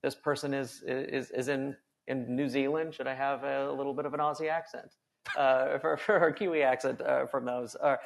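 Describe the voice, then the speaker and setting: A man speaking on a conference panel, quick and enthusiastic, often repeating words as he thinks. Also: mid-range voice, steady tone, vocal fry, slight background noise. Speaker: panel speaker, company executive.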